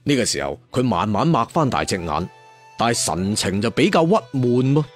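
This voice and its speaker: A man's voice: mid-range voice, sing-song, moderate pace. A man with a Hong Kong Cantonese accent narrating a story dramatically and colloquially, chatting to listeners with rhetorical asides. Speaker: audiobook storyteller. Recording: music bed behind the voice.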